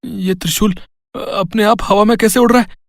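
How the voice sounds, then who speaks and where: A man's voice: high-pitched, steady tone, moderate pace, vocal fry, dry recording, clean studio sound. A man playing a hapless, bewildered village thief in a cartoon moral story, exclaiming and pleading dramatically. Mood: bewildered, alarmed. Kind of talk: animated Hindi moral story acting.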